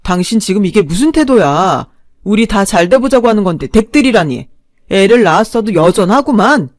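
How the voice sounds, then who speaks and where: A woman's voice: fairly low pitch, normal speed, warm tone, dry recording, studio quality, natural voice. A woman voicing a selfish, callous husband who talks down to his wife, in a story reading. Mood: reproachful. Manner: dismissive, reproachful.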